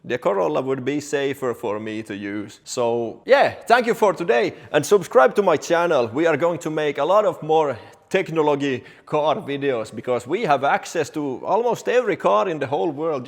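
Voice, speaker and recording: A man's voice: a little high, expressive, normal speed. Man explaining things. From Finland. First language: Finnish or Swedish. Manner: plain-spoken, addressing viewers directly. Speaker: car technician and video host. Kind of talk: explanatory car vlog talk.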